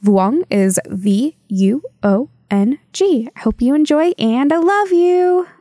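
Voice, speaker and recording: Higher-pitched woman, casual and earnest, dry recording. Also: expressive, slow pace, mellow, no room sound. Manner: informal, earnest. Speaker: podcast contributor giving recommendations. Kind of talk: casual book recommendation.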